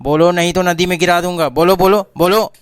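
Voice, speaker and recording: A man's voice: high voice, fairly even intonation, a little fast, clean tone, dry recording, studio quality, natural voice. A man voicing a bossy cartoon dog. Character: scheming talking dog, adult male.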